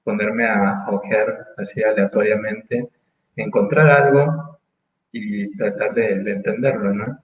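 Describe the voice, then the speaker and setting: A man in his thirties from Mexico, speaking as an interview guest in casual conversation, thoughtful and full of pauses and restarts. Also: on the low side, fairly even intonation, roomy sound, muffled recording. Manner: thoughtful, hesitant, many fillers and restarts.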